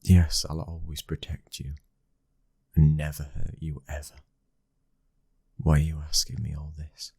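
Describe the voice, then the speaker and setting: A British man playing a protective, devoted young mafia heir and lover in an intimate ASMR roleplay, speaking softly and tenderly, often near a whisper. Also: extremely low pitch, monotone, measured pace, gravelly, pauses often, husky, no room sound, exaggerated voice.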